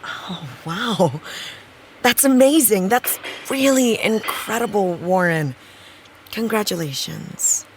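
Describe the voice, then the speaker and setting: A woman's voice: medium pitch, lively intonation, slow, a little hiss or hum. A woman playing a wry, candid actress and supportive friend in an audio drama, with dry, teasing, naturalistic delivery. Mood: encouraging, surprised.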